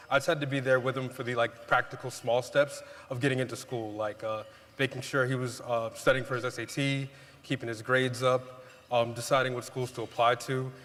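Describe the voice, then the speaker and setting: A man speaking as a mentor on a panel, calm and matter-of-fact. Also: medium pitch, fairly quick. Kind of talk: panel answer. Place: large event hall. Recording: long hall reverb.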